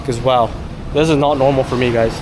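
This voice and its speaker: A man's voice: mid-range voice, fairly even intonation, a little fast, noisy background. A Canadian man walking through a city market outdoors, chatting upbeat to his viewers as a travel vlogger. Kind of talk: handheld travel vlog narration.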